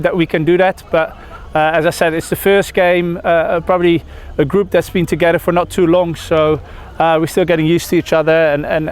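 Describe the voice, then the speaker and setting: Man, thirties, from the Netherlands, slightly higher voice, hesitant, fillers, outdoor interview. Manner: hesitant, lots of fillers and restarts. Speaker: cricketer. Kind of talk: press interview.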